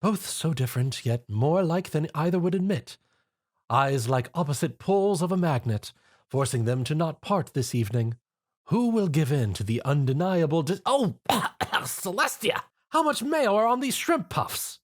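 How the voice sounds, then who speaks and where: A man's voice: a little high, big pitch swings, normal speed, voice pushed up. A man voicing a theatrical female fashionista pony with florid, melodramatic delivery in a story reading. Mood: appalled.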